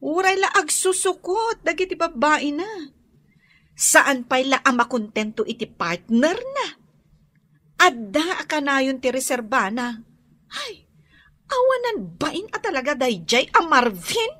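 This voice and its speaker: A woman's voice: high-pitched, sing-song, measured pace, pushed and bright, no room sound, exaggerated voice. A woman playing an outspoken, candid character in a radio drama, with a Filipino accent. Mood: indignant. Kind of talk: Ilocano radio drama acting.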